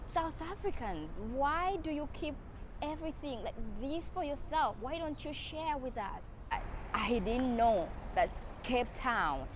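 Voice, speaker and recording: A woman filming a travel vlog outdoors in a city, awed and exclaiming, often halting mid-sentence. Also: high-pitched, very animated, noisy background.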